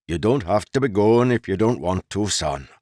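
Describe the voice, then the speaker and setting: A man voicing a large, humble lumberman and father who speaks respectfully to a noble and gently to his son. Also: fairly low pitch, animated, normal speed, dry recording, studio quality, pitched above the performer's own voice.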